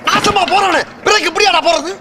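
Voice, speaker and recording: A man's voice: very high voice, steady tone, brisk, a loud crowd. A man in game voice chat, scolding and teasing his teammates in casual banter. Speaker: gamer in the squad voice chat.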